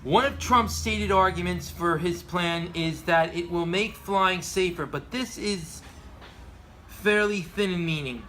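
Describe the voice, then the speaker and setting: A man's voice: high voice, unhurried, sharp tone, a little hiss or hum. A middle-aged man presenting earnest aviation commentary, partly read from prepared notes, occasionally stumbling over his words. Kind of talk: prepared commentary read aloud with informal asides.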